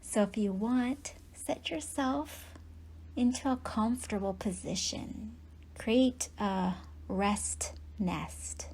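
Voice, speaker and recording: Slow, husky woman guiding meditation. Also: on the high side, darkish tone, poor audio. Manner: unhurried phrases with long pauses. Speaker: meditation teacher. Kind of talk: guided yoga nidra meditation, gentle instruction.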